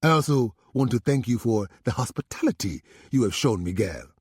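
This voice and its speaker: A raspy, jovial crime boss with a thick Mexican Spanish accent flatters his business partner, as a male narrator puts him on. His husky voice lifts a little above the narrator's. The audiobook recording is dry and close. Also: mid-range voice, normal speed, no room sound, voice pushed up.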